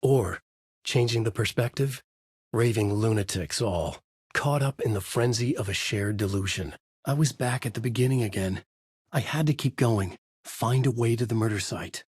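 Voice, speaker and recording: Man, middle-aged, husky, brooding, noir game narration, dead-dry studio. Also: lowish voice, moderate pace, a little mellow, no room sound. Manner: brooding, noir-like inner monologue. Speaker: video game protagonist, a writer. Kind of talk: hard-boiled game narration.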